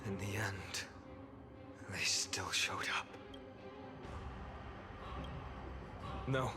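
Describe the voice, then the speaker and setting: A man's voice: deep voice, slow, music in the background. A man playing a resolute young warrior leader in a video game, solemn and earnest. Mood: urgent. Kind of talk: dramatic video game voice acting.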